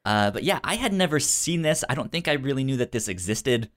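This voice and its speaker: A man co-hosting a casual film chat, speaking haltingly and often repeating words mid-sentence. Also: medium pitch, sing-song, fairly bright tone. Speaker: podcast co-host.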